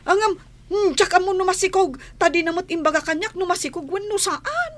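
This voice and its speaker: An actress playing a frightened, evasive maid in an Ilocano comedy radio drama, whining and stammering. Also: female voice, very high voice, animated, fairly quick, a bit bright. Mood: nervous evasion.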